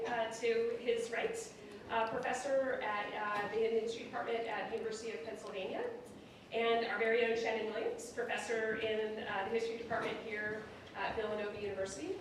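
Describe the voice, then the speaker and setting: A woman's voice: fairly high pitch, fairly even intonation, brisk. A woman moderating a panel, introducing people informally and often breaking off her sentences. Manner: hesitant, self-interrupting. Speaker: panel moderator, history professor. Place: theatre with a stage. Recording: echoey noisy hall, distant microphone.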